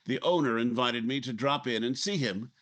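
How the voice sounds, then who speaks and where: A man's voice: medium pitch, normal speed, noisy background, lightly acted. A man playing a brash, driven newspaper editor in his forties, curt and self-assured, in a 1950s radio drama. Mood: driven, reflective. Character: brash, driven forty-year-old male newspaper editor. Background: music.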